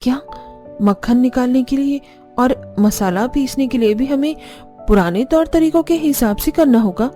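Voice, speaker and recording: A woman acts out a naive young bride in a cartoon family drama. The voice is high and girlish, over clearly audible music. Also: normal speed, dark tone, close to an everyday voice. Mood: dismayed. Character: young new bride, naive, dismayed by old-fashioned in-laws. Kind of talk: scripted cartoon dialogue.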